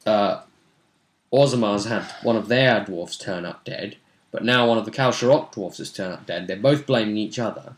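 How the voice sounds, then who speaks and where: A man's voice: lowish voice, moderate pace. Conversational man recapping a tabletop game. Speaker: game master. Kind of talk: casual recap of a tabletop role-playing session.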